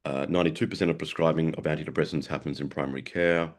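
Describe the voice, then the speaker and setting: A man with a sore throat and a gravelly voice, giving a webinar lecture over a video call, halting and repeating words as he goes. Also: extremely low pitch, steady tone. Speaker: guest presenter, psychiatrist.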